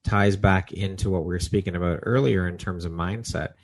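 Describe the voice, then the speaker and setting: A woman's voice: extremely low pitch, brisk. A woman hosting a podcast, asking questions and affirming her guest encouragingly. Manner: affirming, encouraging, frequent backchannels. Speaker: podcast host. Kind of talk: interview questions and chat.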